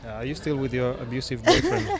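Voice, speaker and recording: A man's voice: medium pitch, wildly swooping pitch, fast, a crowd in the background. A man hosting a podcast in casual chat, a teasing, joking interviewer. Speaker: podcast host.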